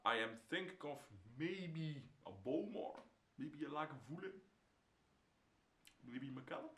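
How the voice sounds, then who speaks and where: A man's voice: on the high side, animated, a little slow, sharp tone, echoey room, a little hiss or hum. A young man with a Dutch accent, a native Dutch speaker, casually reviewing a whiskey to camera at home, repeating words as he talks and dropping to a whisper while tasting. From Netherlands. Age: young adult. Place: indoor home room, seated in armchair.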